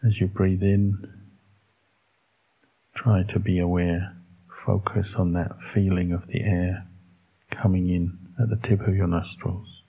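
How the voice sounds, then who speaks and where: A man with a low, dark voice leads a guided meditation as a monk would. His delivery is very slow and calm, broken into short phrases with long pauses between them. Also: slightly rough, poor audio. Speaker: Buddhist monk teaching meditation.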